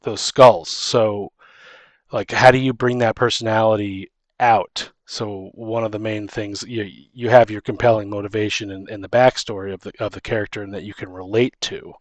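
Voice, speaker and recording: A man's voice: on the low side, steady tone, normal speed, dry recording. A man co-hosting a podcast in casual chat about tabletop gaming, often backtracking mid-sentence. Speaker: podcast co-host and game master.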